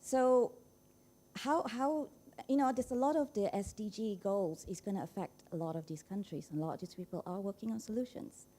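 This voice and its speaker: A woman's voice: medium pitch, normal speed, dark tone. A woman from Malaysia putting an informal question from the floor at a conference. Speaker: audience questioner, science communicator.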